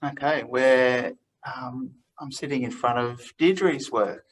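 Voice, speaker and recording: A man's voice: mid-range voice, sing-song, slow, gravelly. A man hosting an artist talk as gallery director, chatting in an easygoing and encouraging way with frequent fillers. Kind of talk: relaxed interview conversation.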